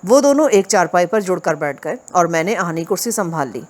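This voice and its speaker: A woman narrating a first-person crime story for an audio novel, in a steady, measured reading voice. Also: on the low side. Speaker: audiobook reader.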